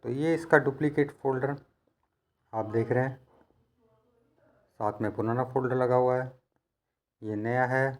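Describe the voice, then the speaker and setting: A man talks through practical repair work in a conversational tutorial style. His voice sits at a medium pitch. He explains each step patiently, like a working technician teaching his trade. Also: steady tone, unhurried, long pauses. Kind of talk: hands-on instructional narration, conversational.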